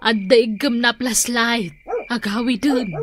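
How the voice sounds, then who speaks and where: A woman's voice: on the high side, fairly even intonation, slow, a crowd in the background. A woman playing a nagging, practical farmer's wife in an Ilocano radio comedy-drama, chiding and emphatic, with an Ilocano accent.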